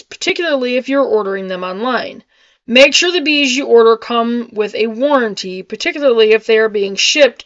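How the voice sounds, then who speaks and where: Middle-aged female homesteading vlogger, slightly high voice, reads aloud with offhand comments, trips on tricky words. Dull home recording. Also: a bit bright, dry recording. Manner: stumbles over and repeats hard words. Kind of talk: reading an article aloud with casual asides. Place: small home kitchen with wood-panel ceiling, indoors.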